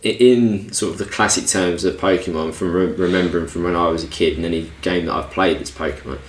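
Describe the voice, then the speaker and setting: A man with a fairly low voice hosts a casual film podcast. He talks in a rambling, searching way, and the recording has a clearly roomy echo. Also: steady tone, fairly quick. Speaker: podcast host.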